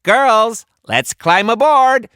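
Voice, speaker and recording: A man's voice: very high voice, animated, slow pace, sharp tone, smooth, dry recording, clean studio sound, pitched above the performer's own voice, exaggerated voice. A man voicing a bossy, gleeful female pirate captain, an older sister, for a storybook. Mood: bossy, taunting. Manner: taunting, commanding storybook villain delivery.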